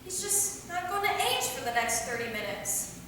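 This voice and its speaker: A woman's voice: high-pitched, big pitch swings, moderate pace. A woman playing a flippant, careless vampire with a slangy, teasing way of talking in a staged reading. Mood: defensive, flippant. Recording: echoey hall from far off, faint noise.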